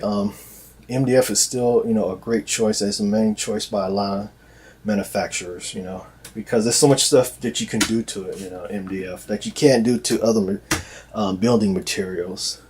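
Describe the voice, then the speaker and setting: A man speaking casually and off the cuff as a loudspeaker maker presenting products, in a hesitant, repetitive, halting way. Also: on the low side, husky. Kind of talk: casual unscripted product explainer. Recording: noticeable background noise, amateur video sound.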